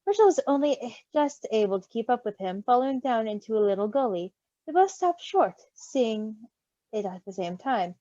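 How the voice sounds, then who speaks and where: A woman reading a story in an animated way, with giggly, casual asides. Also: on the high side, moderate pace, no echo. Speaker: story reader. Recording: slightly dull, close dry recording.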